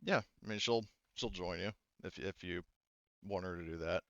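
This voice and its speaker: A man's voice: low voice, normal speed, a bit bright, dry recording, dull audio. A man running a tabletop game in casual talk, narrating and explaining rules, often rephrasing as he goes. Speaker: game master.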